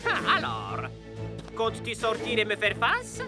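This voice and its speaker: A short, stern cartoon football master with a very lively, swooping delivery. He orders and challenges with theatrical authority. Music plays clearly behind him. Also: sits high, a little slow, exaggerated voice. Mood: challenging. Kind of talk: cartoon dub acting.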